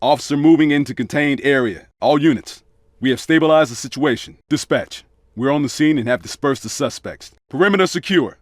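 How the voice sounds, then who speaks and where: An actor playing an undercover police officer in a video game, giving brisk, commanding tactical callouts in a clipped way. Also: male voice, mid-range voice, dry recording, clean studio sound, natural voice. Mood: businesslike, alert. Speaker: video game player character voice. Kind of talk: video game combat callouts and radio dispatch reports.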